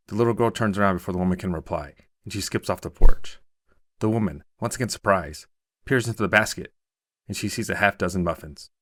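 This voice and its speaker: A man's voice: low-pitched, no echo, studio quality. A man narrating a scripted short story as a storyteller.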